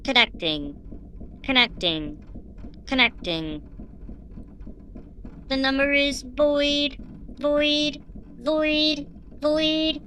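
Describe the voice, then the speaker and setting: A glitching alien probe speaks in a high, bright voice, put on by a woman. It drags each flat, mechanical word out very slowly and repeats it. A faint hiss runs under the recording. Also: exaggerated voice. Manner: slow, flat, repeating machine words.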